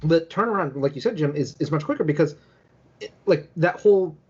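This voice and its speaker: Husky-voiced man chatting on a podcast. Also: on the high side, lively intonation, brisk, a little mellow, lo-fi sound. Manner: rambling, peppered with "like". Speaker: podcast panelist. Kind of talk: casual podcast discussion.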